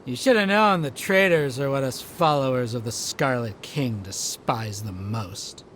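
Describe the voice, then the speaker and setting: A man's voice: medium pitch, measured pace, a bit bright, a little hiss or hum, close to an everyday voice. A man doing a taunting cult henchman. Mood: menacing. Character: male cult follower, menacing and smug. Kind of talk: video game cutscene dialogue.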